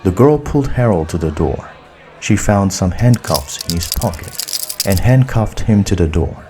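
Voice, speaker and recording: A man narrating a story as a scripted audiobook, in a measured, deliberate way. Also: deep voice, animated, warm tone, a little hiss or hum.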